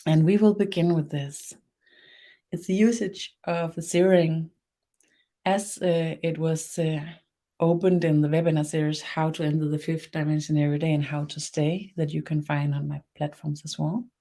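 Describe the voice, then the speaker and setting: A woman from Denmark with a Danish accent and Danish as her first language, hosting a live-streamed spiritual webinar, speaking slowly with many fillers and restarts. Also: deep voice, level tone, mellow. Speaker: webinar host, spiritual teacher.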